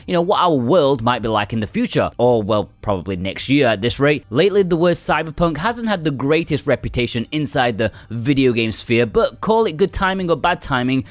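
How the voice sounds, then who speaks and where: A man reading a scripted video game review in a measured, explanatory way with lightly wry asides. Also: on the high side, no room sound.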